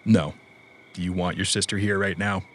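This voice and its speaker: A man playing a gruff but loyal cyberpunk mercenary in an audio drama, protective and dry, in an understated conversational delivery. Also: sits low, fairly even intonation, normal speed. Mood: reassuring.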